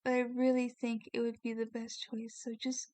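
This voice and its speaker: A woman playing a kindly, doting vampire lady in an ASMR roleplay, speaking gently with a genteel, coaxing manner. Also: a little high, little pitch movement, moderate pace, dry recording, close to an everyday voice. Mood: reassuring, coaxing. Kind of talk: ASMR roleplay, soft scripted acting.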